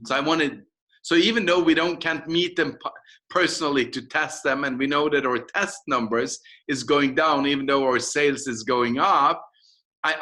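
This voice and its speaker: A Norwegian-accented man with a fairly high, bright and forceful voice speaks as a company founder in an interview. He makes his business pitch emphatically, repeating and counting off his points. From Norway.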